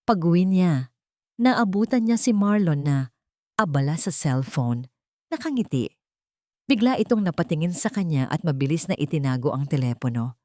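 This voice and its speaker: A woman reading a scripted romantic drama as a narrator, in a measured and earnest storytelling delivery. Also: low-pitched, animated, warm tone, no room sound, clean studio sound.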